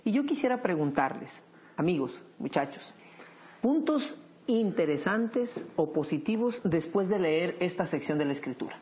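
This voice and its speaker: A man hosting a Bible study program, leading the discussion in a measured teaching tone. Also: high-pitched, very animated, darkish tone.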